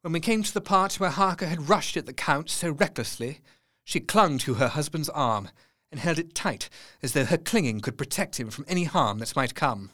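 A man narrating an audio drama in a tense, measured storytelling voice with hushed dips. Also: fairly high pitch, expressive.

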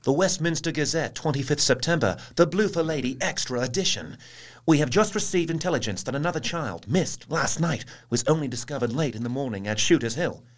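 An actor playing a sober Victorian newspaper reporter, reading in a measured, formal dramatic style. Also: male voice, on the high side, expressive, close to an everyday voice. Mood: urgent reporting.

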